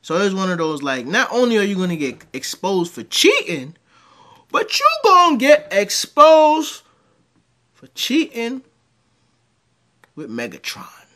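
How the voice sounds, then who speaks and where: A man's voice: sits high, a little slow, pauses often. A man, telling crude stories in a home-studio reaction commentary. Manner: animated, rambling storyteller with crude jokes. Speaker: commentary host. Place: dim home room with green light.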